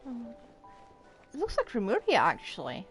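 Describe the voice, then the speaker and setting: A woman's voice: a little high, huge pitch swings, slow. A woman streamer giving casual live game commentary, musing aloud and asking herself questions, with faint game music behind her.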